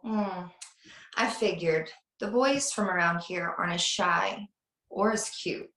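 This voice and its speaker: A woman's voice: on the low side, slow, a bit bright. A woman playing a flirtatious, evasive mother in a screenplay table read over a video call. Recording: roomy, echoing video call audio.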